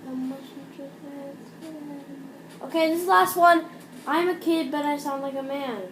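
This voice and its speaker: A young woman with a high, light voice, chatting through a reaction video. She cuts in with quick, joking remarks. The sound is roomy with clear noise behind her. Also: steady tone. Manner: playful, jokey interjections. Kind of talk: casual reaction commentary.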